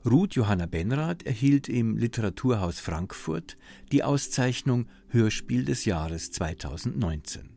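Man, lowish pitch, formal radio interviewer, measured phrasing, music in background. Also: creaky, darkish tone. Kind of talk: scripted radio introduction and interview.

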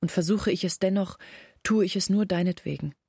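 A woman's voice: mid-range voice, fairly quick, gravelly, darkish tone, slightly rough, no room sound, clean studio sound. An actress playing an earnest, loyal sister in a radio drama, explaining her family's misfortune. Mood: earnest, pleading.

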